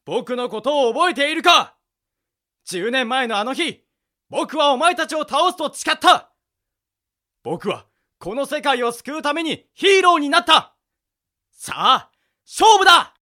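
Righteous young hero, high and bright man acting. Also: expressive, unhurried, long pauses, heavily acted. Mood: determined. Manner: declamatory, determined. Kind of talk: amateur audio drama acting.

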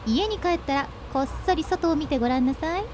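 Radio drama older sister, woman acting: high, swooping delivery, bossy, teasing, caring underneath. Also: lively intonation, darkish tone, a little hiss or hum. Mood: teasing, friendly. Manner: brisk, teasing, blunt with her brother.

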